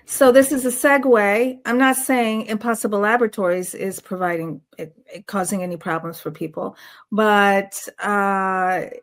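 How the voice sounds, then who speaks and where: A woman's voice: mid-range voice, steady tone, moderate pace. Female interviewer with a mid-range voice. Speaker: interview show host. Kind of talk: conversational interviewing.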